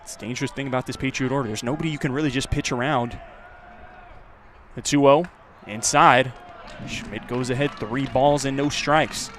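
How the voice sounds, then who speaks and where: A man with an American accent giving live radio play-by-play commentary of a baseball game, measured between plays and louder on the action. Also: mid-range voice, a little hiss or hum.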